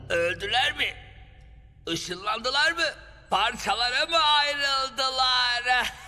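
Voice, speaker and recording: A man's voice: extremely high pitch, takes it slowly, bright, forceful tone, long reverb tail, soft background music, exaggerated voice. A man voicing a flustered, overwrought character in a Turkish-dubbed cartoon. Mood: shocked, dismayed.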